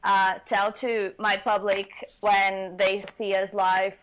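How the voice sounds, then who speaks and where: Slow earnest woman. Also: mid-range voice, level tone, poor audio. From Portugal. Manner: earnest, laughs often, slightly non-native phrasing. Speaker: rock singer guest. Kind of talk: casual interview chat.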